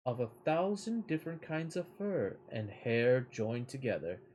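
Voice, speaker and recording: Man reading as a slow-spoken, resolute young princess. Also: medium pitch, a little mellow, a little hiss or hum, lightly acted, low-quality recording.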